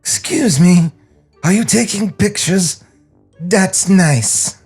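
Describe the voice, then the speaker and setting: An affected cartoon character voice, put on by a man, pitched up and exaggerated. It is husky and animated, with music behind. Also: slow pace, full character voice. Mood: suave. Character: nervous voice actor auditioning affected, slick cartoon voices.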